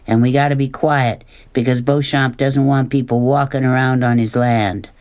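A man voicing a quiet, withdrawn young country boy who speaks in a hushed, reluctant, plain way. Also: mid-range voice, steady tone, moderate pace, clear, resonant tone, natural voice.

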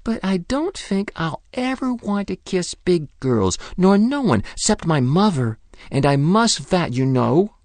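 A man's voice: sing-song, a little slow, dry recording, heavily acted. A man doing a solemn little boy. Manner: high, soft, solemn questions. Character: earnest small boy, solemn and curious.

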